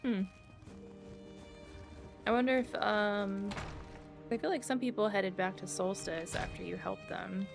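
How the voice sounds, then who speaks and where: Gamer lady, creaky voice, casual musing commentary, game music in the back. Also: medium pitch, steady tone, a little slow, long pauses. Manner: musing, reads her dialogue choices aloud. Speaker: game streamer.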